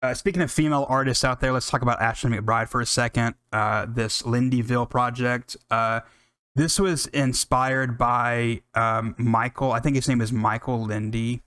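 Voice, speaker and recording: A man's voice: mid-range voice, fairly even intonation, moderate pace, a bit bright, smooth, no room sound. An American man hosting a music podcast, talking casually and enthusiastically in a rambling, unscripted way with some swearing.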